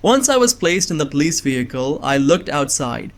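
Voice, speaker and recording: A man acting a higher-voiced bewildered young man. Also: very animated, normal speed. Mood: narrating. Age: young adult. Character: bewildered innocent young man framed as assassin. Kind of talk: first-person scripted narration with dialogue.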